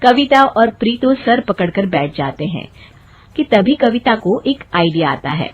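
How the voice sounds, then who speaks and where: A woman's voice: medium pitch, big pitch swings, unhurried, highs cut off, a little hiss or hum. A woman narrating a scripted cartoon story as its storyteller.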